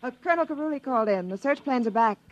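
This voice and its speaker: A woman's voice: sits high, expressive, very fast. A woman acting an efficient control room secretary in a 1950s radio drama, with businesslike delivery. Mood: businesslike, concerned. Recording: dull, noisy 1950s radio recording.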